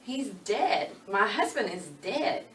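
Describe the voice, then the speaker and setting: A woman's voice: medium pitch, very animated, slow pace. An echoing, slightly distant recording carries a grieving country widow played by a woman. She is plain-spoken and folksy, pleading yet defiant, in a dramatic reading of a novel. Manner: folksy rural dialect grammar, pleading. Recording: roomy, distant, faint background noise.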